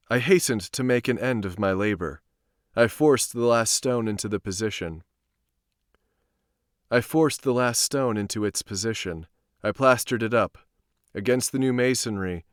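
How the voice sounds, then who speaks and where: A man's voice: on the low side, no echo, studio quality. A man reading stories aloud on a live stream, with an informal manner. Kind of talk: live storytelling and casual stream chat.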